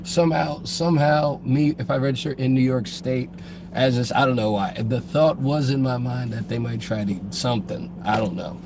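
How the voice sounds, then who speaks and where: A man with a cold co-hosting a casual podcast, his voice hoarse and gravelly. He talks haltingly, stumbling and restarting his sentences, over steady background noise. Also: mid-range voice. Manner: halting, many restarts and repeated words. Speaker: podcast co-host. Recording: noisy background.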